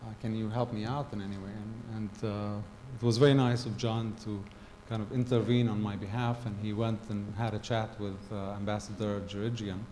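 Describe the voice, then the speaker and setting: A middle-aged man from Gaza tells a personal story at a conference, speaking haltingly with many ums and self-corrections. His voice sits low and mellow. He holds a handheld mic in a large hall with a long reverb and faint background noise. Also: flat delivery.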